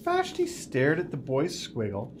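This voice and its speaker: A man reads a picture book to children. His delivery is slow and expressive, with a widely varying pitch. There is some faint room noise in the small office. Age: 50s. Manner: deliberate, expressive storytelling. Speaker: school superintendent reading aloud.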